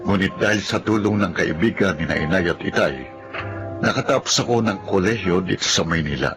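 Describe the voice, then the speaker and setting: A respectful, sincere young man. His voice is deep and earnest, in radio drama style, with clear music underneath. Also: fairly quick. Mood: reflective. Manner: polite, earnest. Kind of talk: Filipino radio drama acting and first-person narration.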